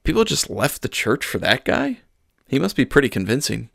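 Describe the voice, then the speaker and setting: A man's voice: medium pitch, very animated, normal speed, vocal fry, a bit bright, no room sound, clean studio sound, pitched above the performer's own voice, heavily acted. A man voicing an earnest young pastor, sermonizing and talking with his congregation. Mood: concerned.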